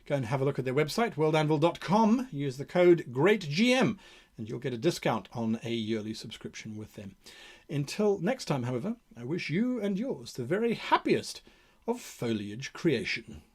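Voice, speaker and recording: A male host presents advice for game masters in a fairly high voice. His intonation swings widely. He muses aloud, asks rhetorical questions and leans on words theatrically. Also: big pitch swings, moderate pace, husky. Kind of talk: explanatory presentation to viewers.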